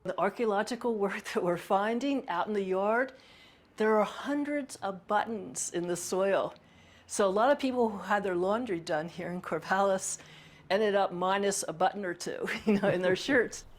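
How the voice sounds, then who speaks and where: A woman's voice: mid-range voice, moderate pace. A woman explaining local history informally in an interview, in an amused, conversational way.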